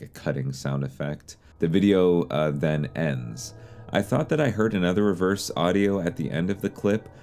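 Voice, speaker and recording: A man giving measured, somewhat tentative explainer commentary on horror videos as the host and narrator. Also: rumbling low, darkish tone.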